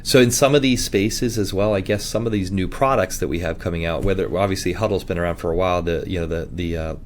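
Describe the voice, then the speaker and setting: Fast-talking deep-voiced male host. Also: a little hiss or hum. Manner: brief affirming interjections, quick questions. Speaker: interview host. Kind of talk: conversational interview.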